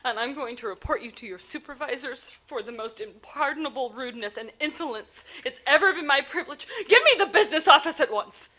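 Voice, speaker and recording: Imperious invalid wife, very high piercing voice, bright, nervous, theatrical, old-time radio drama. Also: female voice, expressive, brisk, few pauses. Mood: furious, frantic. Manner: demanding, indignant, theatrical, nervous. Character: imperious, hysterical bedridden invalid wife, frantic on telephone. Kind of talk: 1940s radio play acting.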